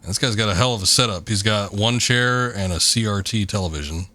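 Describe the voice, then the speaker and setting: A man with a gravelly voice giving rambling, joking commentary while streaming a game. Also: on the low side, fairly quick, pushed and bright. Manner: rambling, laid-back, joking. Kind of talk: casual gameplay commentary.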